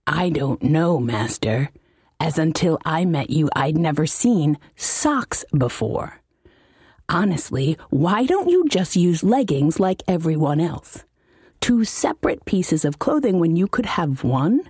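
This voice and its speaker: Woman as a slow, deadpan laundry demon servant. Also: sits low, husky, no echo, clean studio sound, heavily acted. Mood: deadpan cheeky. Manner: deadpan, sardonic, formally insolent. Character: bored, cheeky servile male laundry demon with bulging belly. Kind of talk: audiobook character voice for comic fantasy story.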